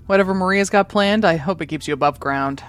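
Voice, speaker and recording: An actress in an audio drama playing a weary, modest moon traveller, hesitant and understated, who is loyal to family. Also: female voice, lowish voice, brisk, no room sound, soft background music, lightly acted. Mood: tired, wry.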